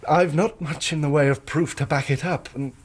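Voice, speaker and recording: Nervy, formal English engineer. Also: male voice, on the high side, fairly even intonation, moderate pace, slightly rough. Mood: shaken, earnest. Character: injured young male hydraulic engineer, overwrought but polite. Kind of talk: period radio drama acting.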